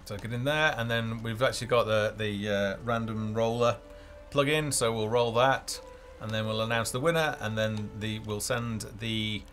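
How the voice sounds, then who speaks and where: A man's voice: lowish voice, fairly even intonation, moderate pace, hardly any pauses, a bit bright. A man hosting a casual game developer live stream, talking off the cuff in a hesitant, rambling way as he thinks aloud. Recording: music playing behind the voice.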